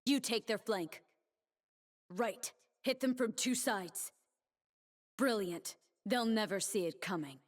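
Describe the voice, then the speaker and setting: An actress voicing a proud, resolute young warrior woman in a video game, solemn and commanding, with measured delivery. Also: medium pitch, animated. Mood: composed, tactical. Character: proud, resolute young woman warrior, blade dancer defending her homeland. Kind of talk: game champion voice lines and trailer narration. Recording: reverberant game audio over music.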